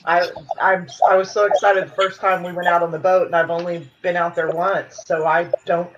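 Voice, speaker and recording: A woman's voice: fairly low pitch, level tone, moderate pace. A chatty woman rambles through her story in a casual call. The sound is dull, with a faint noise bed under it. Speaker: guest. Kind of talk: casual panel chat.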